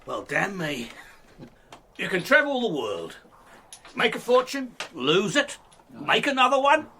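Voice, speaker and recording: An actor playing a boastful, jovial young man home with money from the colonies, talking in a loud, hearty, showy way. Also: high-pitched, sing-song, measured pace, a little hiss or hum, heavily acted. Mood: hearty reminiscing. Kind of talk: radio drama acting.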